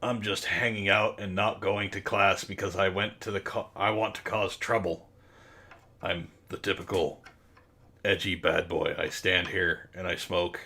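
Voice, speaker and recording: A man voicing a sullen teenage echidna, an edgy bad boy who talks haltingly in a comic story reading. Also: sits low, steady tone, bright, forceful tone, pitched below the performer's own voice, exaggerated voice. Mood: edgy, posturing. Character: gruff talking echidna high-schooler, lazy edgy bad boy.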